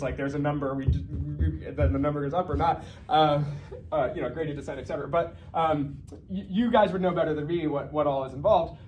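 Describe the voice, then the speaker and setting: Fast-talking man giving an informal lecture. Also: a little high, darkish tone, a little hiss or hum, low-quality recording. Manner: conversational, thinking aloud with self-corrections and asides. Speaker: researcher giving a talk.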